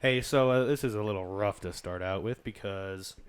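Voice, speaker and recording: This is a young adult man hosting a casual chat podcast, speaking into a studio microphone. His voice sits a little low and his talk rambles, full of restarts and crude humor. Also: moderate pace, clear, resonant tone. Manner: laid-back, rambling with restarts and crude jokes. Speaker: podcast host. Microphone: XLR studio mic.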